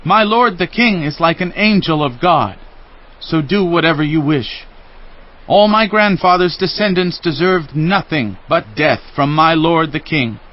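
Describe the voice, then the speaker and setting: High-voiced, humble wronged servant. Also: male voice, expressive, measured pace, muffled recording. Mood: plaintive. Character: humble, wronged lame man, loyal servant. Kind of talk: dramatized audio Bible acting.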